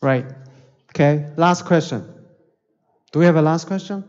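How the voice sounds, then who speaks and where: A man hosting an online forum, introducing the next speaker in a halting way with many fillers. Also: a little high, a little mellow, highs cut off. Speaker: forum host.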